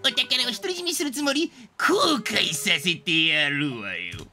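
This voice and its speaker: A man voicing a feisty, bossy, sharp-tongued little girl from a video game in a sassy, accusing manner. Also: sits high, huge pitch swings, unhurried, soft background music, exaggerated voice. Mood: indignant.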